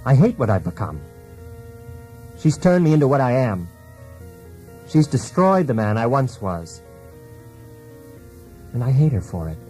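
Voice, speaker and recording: An actor playing an anguished, dependent husband in a failed marriage, in a brooding, introspective radio drama monologue. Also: male voice, medium pitch, big pitch swings, measured pace, pauses often, mellow, faint music behind. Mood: bitter, anguished.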